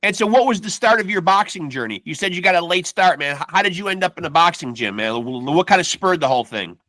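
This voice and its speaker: A male podcast host with a fairly high, bright voice that cuts through. He talks in an energetic, casual way and keeps tossing in "man" as he chats with his guest. The sound is dry and close. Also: quick pace, dry recording, low-quality recording. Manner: energetic, peppers speech with "man". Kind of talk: casual chat.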